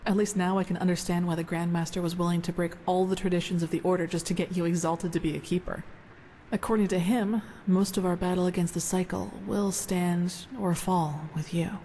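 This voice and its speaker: An actress playing an earnest, thoughtful female novice of a holy order in fantasy game dialogue, measured and reflective. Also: lowish voice, steady tone, mellow, slight background noise, close to an everyday voice. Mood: pensive.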